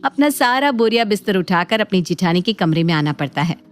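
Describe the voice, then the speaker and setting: A woman's voice: medium pitch, animated, brisk. A woman narrating a scripted cartoon family story in a dramatic storytelling manner. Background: faint background music.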